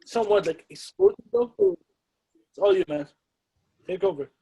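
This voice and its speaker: A man's voice: sits high, huge pitch swings, normal speed, gravelly, warm tone, slightly rough, poor audio. A man co-hosting a casual podcast, talking emphatically and animatedly, often ending with "you know?".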